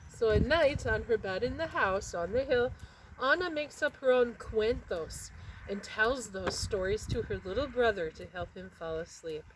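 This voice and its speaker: A woman narrating a children's picture book expressively, with dramatic pauses and emphasis on words. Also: fairly high pitch, lively intonation, noisy background. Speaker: read-aloud storyteller.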